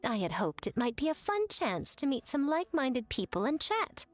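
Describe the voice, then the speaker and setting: An elegant, teasing shrine lady in a game. Her voice is on the high side and swings expressively, with a playful, knowing poise. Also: sing-song, normal speed, no room sound. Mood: dismayed. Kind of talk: animated video game voice acting.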